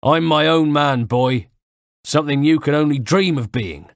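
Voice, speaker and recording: A man's voice: fairly high pitch, normal speed, no echo, studio quality, voice pushed up, close to an everyday voice. A man voicing a sneering wheezy West Country soldier. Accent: West Country English. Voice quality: wheezy, out of breath. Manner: sneering, taunting. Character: gruff middle-aged male soldier, sneering and scornful.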